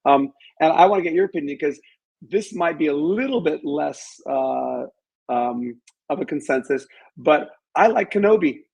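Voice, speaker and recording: A man speaking as an interview guest in a measured, halting way, thinking aloud and qualifying his opinions. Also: a little high, lively intonation, creaky, darkish tone, breathy edge. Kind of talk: reflective interview conversation about films.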